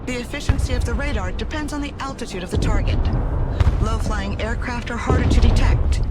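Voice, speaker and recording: A woman's voice: medium pitch, natural voice. A woman playing a composed army major who explains weapons formally in a scripted video game. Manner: formal, explanatory military briefing. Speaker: military officer and instructor.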